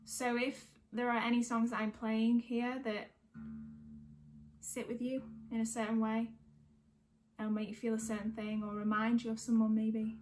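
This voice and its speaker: A woman's voice: a little high, little pitch movement, moderate pace, darkish tone, faint music behind. A woman from North West England, a singer-songwriter talking casually and reflectively. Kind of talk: casual chat between songs.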